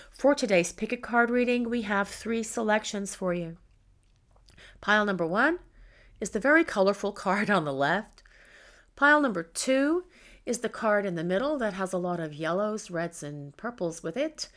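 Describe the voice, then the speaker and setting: A middle-aged woman leads a pick-a-card tarot reading in a calm, measured voice. She sits in an ordinary middle pitch and asks the same question softly again before each card. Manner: calm, measured, gently repetitive. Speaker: tarot reader.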